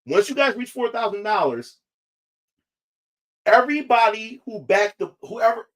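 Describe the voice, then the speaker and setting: A man with a slightly higher voice talks loosely to his viewers, looping back over the same points and restarting half-finished phrases. His voice is still not fully recovered. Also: low-quality recording. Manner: repetitive, restarts phrases often. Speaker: independent comic creator. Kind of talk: casual livestream talk to viewers.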